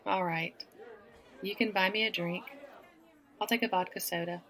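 A woman's voice: medium pitch, steady tone, moderate pace, fairly bright tone, some chatter behind. A woman from the American South with a Southern accent, teasing and flirtatious in a one-sided audio roleplay, laughing often. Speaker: woman flirting with a stranger at a bar.

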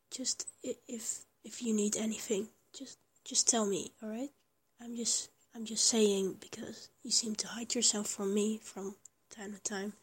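A woman voicing a small, affectionate young lover who whispers, stammers and rambles between giggles. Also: mid-range voice. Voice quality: breathy whisper. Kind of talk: whispered intimate roleplay audio.

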